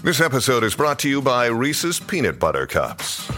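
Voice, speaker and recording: A man announcer reading a mock newscast ad with a lively delivery. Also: mid-range voice, moderate pace, soft background music. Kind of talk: mock news ad read.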